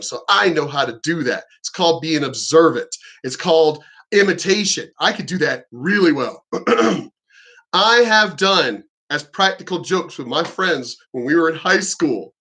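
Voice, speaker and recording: A man's voice: a little high, lively intonation, normal speed, pushed and bright. A man giving a combative, profanity-laced rant as a reaction commentator, mocking what he reacts to.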